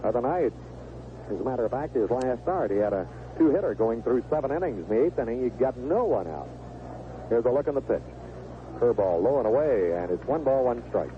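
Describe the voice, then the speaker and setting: A man with a mid-range voice calls baseball play-by-play for radio. He speaks as a live commentator, following each pitch as it comes. The sound is thin and band-limited, with heavy noise from an old seventies broadcast. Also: big pitch swings, a little fast. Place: stadium broadcast booth. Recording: narrow, noisy 1970s AM radio broadcast.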